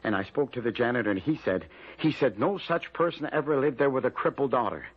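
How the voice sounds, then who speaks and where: An actor playing a desperate, hapless husband in an old-time radio drama, pleading and protesting in plain working-class speech. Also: male voice, mid-range voice, animated, fast talker, breathy edge. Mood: anxious, earnest. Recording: muffled vintage radio recording.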